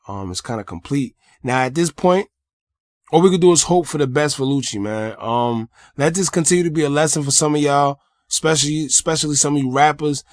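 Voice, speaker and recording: A man's voice: fairly high pitch, no room sound, clean studio sound. A man with an American accent recaps news as an online host, talking colloquially and directly to viewers with his own blunt opinions. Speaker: host of a hip-hop news commentary channel. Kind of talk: news recap with casual personal commentary.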